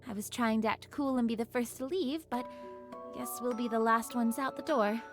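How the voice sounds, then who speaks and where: Dorothy, high-voiced gentle squad leader played by a woman, over music. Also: moderate pace, close to an everyday voice. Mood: calm, resolute. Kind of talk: dramatic video game voice acting. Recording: game dialogue over clear background music.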